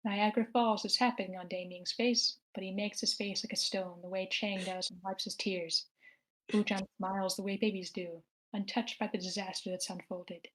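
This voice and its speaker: Woman narrating evenly, little pitch movement. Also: steady tone, normal speed, rough recording. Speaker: narrator reading stage directions. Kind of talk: scripted narration at screenplay table read. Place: online meeting, own room.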